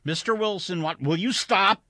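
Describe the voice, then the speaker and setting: A man voicing a male airline pilot in a suspense story, speaking with stern, measured, placating authority. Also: mid-range voice, sing-song, pushed and bright, dry recording, studio quality, exaggerated voice. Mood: firm.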